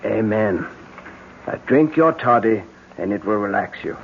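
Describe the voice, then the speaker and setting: Husky, placating husband. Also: male voice, on the low side, animated, measured pace, heavily acted. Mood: soothing. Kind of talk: 1970s radio drama acting. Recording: dull old radio broadcast.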